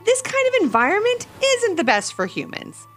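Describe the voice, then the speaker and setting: Woman playing a flustered, animated storybook mom. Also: very high-pitched, normal speed, faint music behind, pitched above the performer's own voice, full character voice. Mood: worried. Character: flustered, caring mother of a young child.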